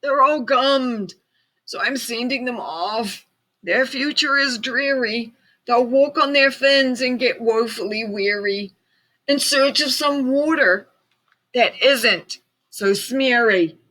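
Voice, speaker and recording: A woman's voice: on the high side, slow pace, bright, forceful tone, pitched above the performer's own voice, full character voice, low-quality recording. A woman voicing a small, grumpy male forest creature in a storybook reading, scolding and coughing as he complains. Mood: sorrowful. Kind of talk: storybook read-aloud.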